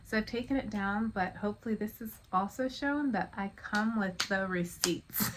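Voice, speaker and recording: A woman vlogger confiding her personal story to viewers in a casual, halting, rambling monologue. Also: medium pitch. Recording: clear background noise.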